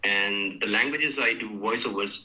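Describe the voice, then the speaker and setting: A man's voice: lowish voice, moderate pace, pushed and bright, low-quality recording. A man from North India with an Indian accent, a voiceover artist whose first language is Hindi, introducing himself politely over a call.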